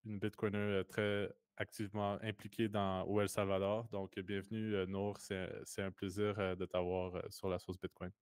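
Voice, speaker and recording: A man's voice: sits low, little pitch movement, moderate pace, hardly any pauses, smooth, no room sound. A man hosting a podcast, asking questions in a hesitant way with frequent fillers and restarts. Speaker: podcast host. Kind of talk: casual interview.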